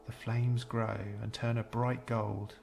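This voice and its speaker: Man narrating meditation with a creaky voice. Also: mid-range voice, little pitch movement, faint music behind. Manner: measured, soothing, gentle delivery with pauses. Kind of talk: scripted guided meditation narration.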